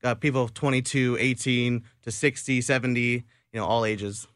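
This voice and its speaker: A man's voice: medium pitch, steady tone, normal speed, fairly bright tone, no room sound, studio quality. A man in his early twenties who leads a vocal quartet, answering interview questions briefly and with good humor. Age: early 20s. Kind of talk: radio guest interview. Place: radio studio. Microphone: studio microphone.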